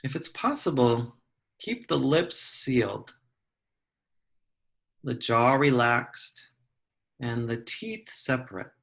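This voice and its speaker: A man guides a meditation at a very unhurried pace, in a fairly average-pitched voice. He gives soft, measured instructions and leaves long pauses between his phrases. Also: big pitch swings, creaky. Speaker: psychologist leading a guided meditation.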